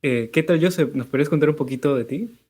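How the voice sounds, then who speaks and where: Mellow-voiced man hosting a podcast. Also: fairly high pitch, fast talker. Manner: upbeat, playful introductions. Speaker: podcast host.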